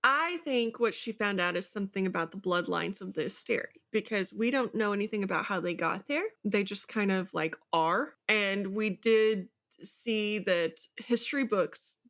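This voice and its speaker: A woman's voice: mid-range voice, fairly bright tone. A woman co-hosting a casual book discussion, thinking aloud and often checking that the listener follows. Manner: speculative, thinking aloud with rhetorical check-ins. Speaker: podcast co-host.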